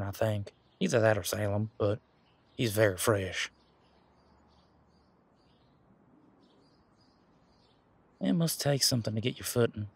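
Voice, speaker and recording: A man playing an easygoing, protective werewolf bodyguard in a roleplay audio drama, chatting in casual, halting, folksy phrases. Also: medium pitch, slightly rough, dry recording. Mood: casual, musing. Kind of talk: roleplay audio drama, conversational monologue to listener.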